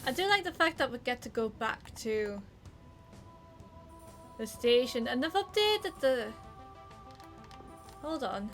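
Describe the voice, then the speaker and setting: Geordie woman, livestreamer, a touch high, chatty and sweary, game music underneath. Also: sing-song, slow, pauses often. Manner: chatty, exclamatory, swears freely. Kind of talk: casual gameplay commentary.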